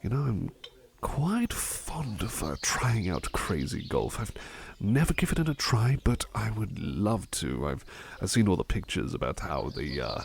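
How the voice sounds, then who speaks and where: A man playing a polite, attentive butler in an ASMR roleplay, speaking in a hesitant, courteous, gently rambling way. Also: lowish voice, very animated, a little slow, gravelly, slight background noise, heavily acted. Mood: eager, considerate.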